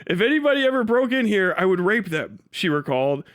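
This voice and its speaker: A male livestream commentator with a high, sharp voice, talking casually and swinging widely in pitch. He reads aloud, then breaks off to riff in disbelief, swearing freely. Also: fairly quick. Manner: incredulous, foul-mouthed, animated; acts out mocking imagined dialogue. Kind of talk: casual reaction commentary, reading an article aloud and riffing.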